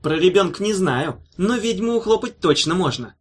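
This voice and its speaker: A man reading an audiobook, voicing a pompous, sour male demon guard with a husky voice. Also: high voice, expressive, moderate pace, voice pushed up.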